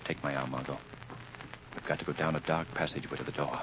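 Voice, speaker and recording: An actor playing a calm, commanding gentleman sleuth in 1930s radio drama, speaking in a measured, grave manner. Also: rumbling low. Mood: calm, guarded. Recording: muffled, noisy 1930s radio recording.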